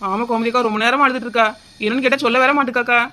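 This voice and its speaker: Fast, high, brash village woman, acted. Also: fairly even intonation, sharp tone, slight background noise. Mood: puzzled. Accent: southern Tamil Nadu. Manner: blunt, scolding. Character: brash, impatient tall village woman, teasing neighbour.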